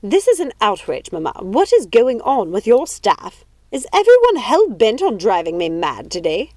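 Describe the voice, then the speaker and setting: A woman's voice: fairly high pitch, sing-song, normal speed, darkish tone, no room sound, pitched above the performer's own voice. A woman voicing a haughty, imperious aristocratic lady in an audiobook story. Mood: outraged. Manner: imperious, demanding.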